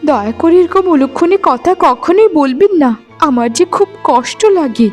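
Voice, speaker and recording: A woman voicing a gentle, forgiving young daughter-in-law, earnest and soothing, in a melodramatic cartoon. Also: sits high, lively intonation, moderate pace, music in the background. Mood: hurt, respectful.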